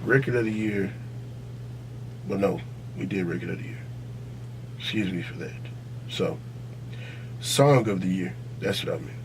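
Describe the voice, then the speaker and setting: A man with a fairly deep voice and an American accent hosts a casual, argumentative podcast. He talks in slang and profanity, pressing his opinions insistently. A clear hum and room noise sit under his voice. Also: measured pace, pauses often. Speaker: podcast host. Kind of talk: casual chat and debate.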